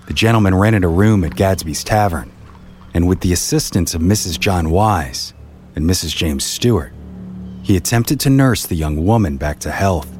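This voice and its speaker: A man's voice: low-pitched, a little mellow. A man reading scripted storytelling narration in the manner of a history documentary.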